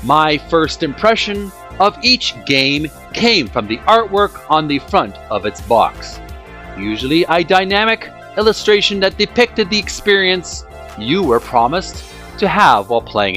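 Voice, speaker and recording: A man reading a book aloud as a host, with deliberate phrasing and emphatic delivery. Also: on the high side, pushed and bright. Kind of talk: read-aloud book narration. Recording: echoey room. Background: faint music.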